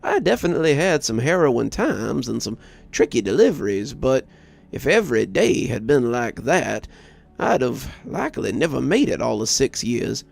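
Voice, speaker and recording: A man voicing a plainspoken old grandfather, a former trucker reminiscing in a folksy, gruff manner in an audio story. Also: medium pitch, sing-song, moderate pace, exaggerated voice. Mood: gruff, wry.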